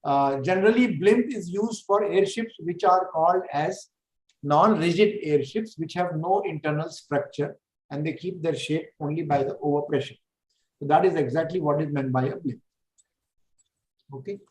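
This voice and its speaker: A man's voice: fairly high pitch, normal speed, a little mellow, reverberant, poor audio. A man with an Indian English accent, a professor taking audience questions in an online course session and thinking aloud with fillers. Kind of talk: answering questions in a lecture.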